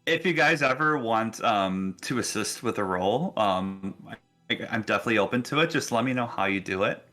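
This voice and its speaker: A man runs a tabletop game as game master. His voice sits low and has a bright, forward edge. He narrates casually, with faint music underneath. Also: lively intonation, moderate pace.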